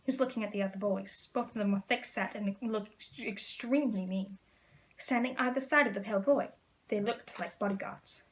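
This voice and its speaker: A woman's voice: medium pitch, moderate pace, rough recording. A woman reading a storybook aloud as a storyteller. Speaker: storyteller in character as a witch. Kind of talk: storybook read-aloud narration.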